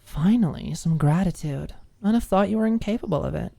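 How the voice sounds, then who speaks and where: A woman's voice: fairly low pitch, lively intonation, brisk, vocal fry, warm tone, dry recording. Woman acting cocky young college woman, sardonic, teasing, blunt, defensive, audiobook character. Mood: smug. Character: cocky, mischievous, defensive female college student.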